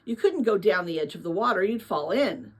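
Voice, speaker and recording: Lady doing a curious young storybook girl, eager, kinda husky, really up and down. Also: medium pitch, lively intonation, brisk, pitched below the performer's own voice, natural voice. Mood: doubtful.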